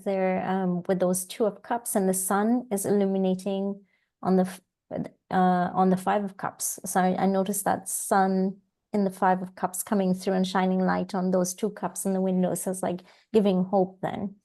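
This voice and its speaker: A woman with a croaky voice from a cold talks through tarot cards in a mellow, even tone. Her delivery is earnest and searching, with small restarts, as if she is on a video call. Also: mid-range voice, normal speed. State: hoarse from a cold. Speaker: tarot reader co-host. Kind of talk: casual spiritual panel chat.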